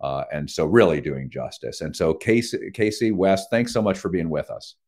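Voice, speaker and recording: A male radio host with a deep, low-pitched voice leads a friendly, conversational interview. He speaks with easy fillers and a relaxed interviewer's flow. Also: normal speed.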